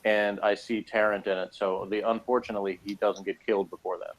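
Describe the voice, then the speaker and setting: A man's voice: on the low side, flat delivery, a little fast, rough recording. A man giving a casual review in conversation, blunt and dryly sarcastic, rambling as he talks. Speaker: guest reviewer of a TV episode.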